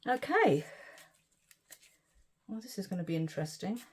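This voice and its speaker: A woman's voice: mid-range voice, very animated, moderate pace, slightly rough. A middle-aged woman chatting casually through a resin craft demonstration, giggly and easily delighted, while losing her voice. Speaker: resin art vlogger.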